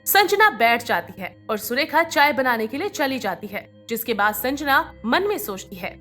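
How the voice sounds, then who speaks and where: A woman's voice: high voice, big pitch swings, moderate pace, a bit bright, faint music behind. A woman reading scripted narration for a moral bedtime story as its storyteller.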